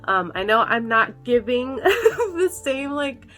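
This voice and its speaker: A woman's voice: high voice, takes it slowly. Casting: young woman, bubbly vlog host, slight cold-nasal tone, light music underneath. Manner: bubbly, excitable, frequent "like" and gasps. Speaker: ghost video reaction host. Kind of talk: casual chatty reaction vlog.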